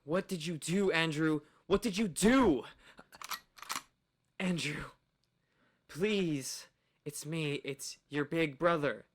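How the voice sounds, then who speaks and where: An actor playing an earnest late-night radio host and protective older brother in a radio play. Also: male voice, fairly high pitch, slow pace, pauses often. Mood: fearful, pleading.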